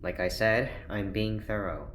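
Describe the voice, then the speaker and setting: An actor playing an earnest, worried scientist doctor in an audio drama, with a careful, clinical manner. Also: male voice, on the low side, unhurried, a bit bright. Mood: clinical, intent. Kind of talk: naturalistic audio drama acting. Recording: roomy, echoing, faint background noise.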